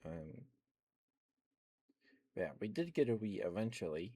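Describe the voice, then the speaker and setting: A young man chatting in a soft, rambling ASMR style, wandering between thoughts with lots of fillers and quiet laughs, close to the microphone. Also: lowish voice, very animated, unhurried, creaky, breathy edge, no room sound. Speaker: ASMR streamer.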